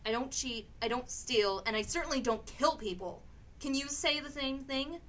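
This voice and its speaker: A woman's voice: high-pitched, moderate pace, fairly bright tone. A woman playing an indignant, defensive sister in an amateur radio play, scolding and emphatic. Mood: defiant. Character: indignant, defensive sister standing up to bullying brother. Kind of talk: live amateur radio theatre acting. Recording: clearly noisy amateur radio drama recording.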